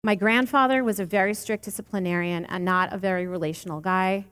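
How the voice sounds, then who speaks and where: Woman in her late thirties preaching, earnest with gentle humor, reverberant church sound. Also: mid-range voice, steady tone, a little fast, clean tone. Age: late 30s. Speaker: lay preacher. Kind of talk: sermon. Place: church sanctuary.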